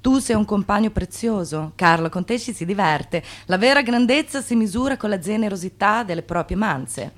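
An actress playing a knowing, ironic narrator, declaiming with deliberate emphasis in a theatrical radio drama. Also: female voice, mid-range voice, lively intonation, natural voice.

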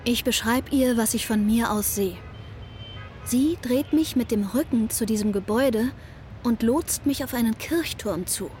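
Woman as a reflective, higher-voiced young narrator. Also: unhurried, darkish tone, a little hiss or hum, natural voice. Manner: reflective, literary. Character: introspective daughter narrating her own journey. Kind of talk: first-person radio drama narration.

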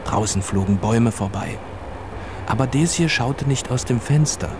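Mid-pitched male storyteller over vehicle noise. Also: a little slow. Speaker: narrator of a children's radio play. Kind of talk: scripted storytelling narration. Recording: clear traffic and vehicle noise behind. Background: vehicle and outdoor ambience.

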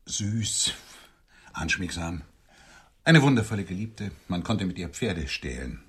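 A man's voice: deep voice, very animated, measured pace, a bit bright, breathy edge, heavily acted. An actor playing a lonely middle-aged man on a blind date in a radio drama, formal and flowery, touchy and wistful. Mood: wistful, dreamy. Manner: formal, flowery, theatrical phrasing.

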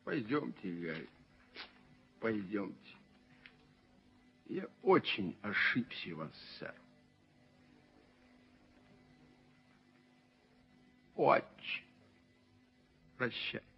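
A courteous, gravely disappointed gentleman in a radio play. His low voice moves very slowly and formally, on a slightly muffled old recording. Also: sing-song, full character voice. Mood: disappointed, reproachful. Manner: formal, deliberate. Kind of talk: radio play stage acting.

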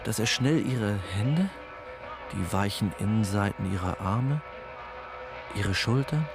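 A man's voice: on the low side, lively intonation, slow, gravelly. A man narrating a literary radio play, telling the scene like a story. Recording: music under the voice.

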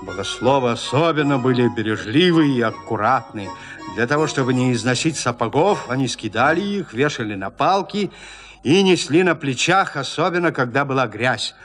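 A man narrating literary fiction in an expressive, theatrical, gently ironic storytelling manner. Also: mid-range voice, normal speed, faint music behind. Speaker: narrator reading classic fiction.

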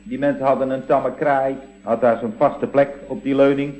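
An actor playing a deferential, droll employee in a radio comedy, explaining things in a deadpan, matter-of-fact way. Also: male voice, mid-range voice, moderate pace, natural voice. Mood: droll, apologetic. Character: deferential, droll male employee explaining absurd building defects. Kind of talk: scripted radio comedy acting. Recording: dull old radio recording.

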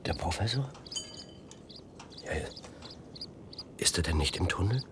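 A man acting an uneasy, doubting scientist in a German radio drama, terse and questioning, with a gravelly, husky voice. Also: deep voice, animated, a little slow, slight background noise. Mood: bewildered. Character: uneasy, doubting male scientist named Phil. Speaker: radio play actor.

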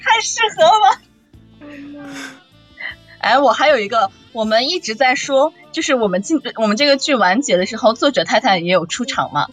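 A woman's voice: fairly high pitch, animated, moderate pace. A woman hosting a casual chat, playful and teasing with her guest. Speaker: host. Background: saxophone background music.

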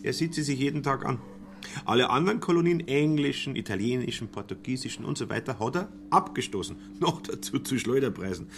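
A man's voice: mid-range voice, animated, a little fast, a little hiss or hum, natural voice. An actor playing a naive, opinionated, tipsy younger petty bourgeois man in a radio drama, talking colloquially. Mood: opinionated, smug.

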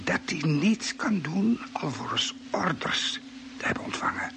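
Grave, gravelly explainer in vintage radio drama. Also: male voice, on the high side, big pitch swings, sharp tone, full character voice. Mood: grave, explaining. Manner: formal, deliberate, explanatory. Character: grave stranded Earth man, knowing explainer of Martian mind control. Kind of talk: vintage Dutch radio play acting. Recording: noisy old radio drama recording.

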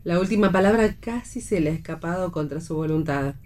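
A woman narrates a radio play, reading out stage directions. Her voice is very low and mellow. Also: a little fast. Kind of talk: scripted narration for read radio theatre.

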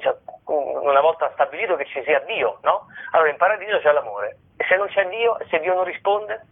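Thin phone-like sound, man, slightly high voice, informal interview guest, halting and rambling, repeats words. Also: expressive, breathy edge. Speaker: guest, comedian and stage performer presenting his shows. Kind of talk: informal radio interview talk. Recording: band-limited radio or telephone-like sound.

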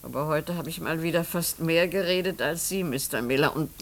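Elderly mother for radio drama: low, creaky voice, pious and devoted, background hiss. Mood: chatty, tender. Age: older. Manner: wistful, chatty, halting, tender phrasing. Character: elderly devoted mother, pious, chatty, anxious for her son. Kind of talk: German radio play acting.

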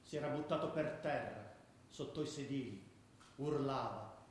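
A man's voice: mid-range voice, normal speed, close to an everyday voice. Man as a scornful ultra in heavy echo. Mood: excited recounting. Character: scornful male football ultra recalling gang exploits. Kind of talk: radio drama ensemble storytelling, colloquial. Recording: very echoey radio drama recording.

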